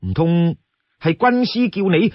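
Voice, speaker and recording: A man's voice: high-pitched, a little slow, no room sound. A man voicing a shrewd, exasperated younger outlaw in a storybook tale, firmly admonishing his companion. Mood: puzzled. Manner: firm, admonishing, laying down rules. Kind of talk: Cantonese storytelling character voice. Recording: dull, close dry studio sound.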